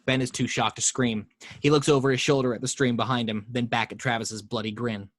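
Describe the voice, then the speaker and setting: Host narrates action lines; steady, dry, mid voice. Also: male voice, mid-range voice, fairly quick, no room sound. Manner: steady reading with small slips. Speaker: table read host reading action lines. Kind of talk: script narration. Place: home.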